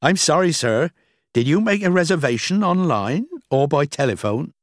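A man's voice: on the high side, lively intonation, moderate pace, no echo, clean studio sound, voice pushed up, natural voice. A man voicing a polite male hotel desk clerk in a story, formal, welcoming and helpful. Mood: polite, placating.

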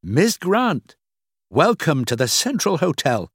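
Man as a storybook hotel receptionist, courteous, formal, helpful, raised pitch, very lively intonation. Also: slow, husky, dry recording, clean studio sound, full character voice. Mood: welcoming, courteous. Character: polite, welcoming male hotel desk clerk.

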